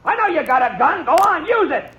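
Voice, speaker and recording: An actor with an American accent playing a hot-headed rancher spoiling for a gunfight, shouting and goading in a 1950s radio western. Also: male voice, extremely high pitch, sing-song, fairly quick. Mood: furious, goading. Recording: echoey, muffled old radio recording.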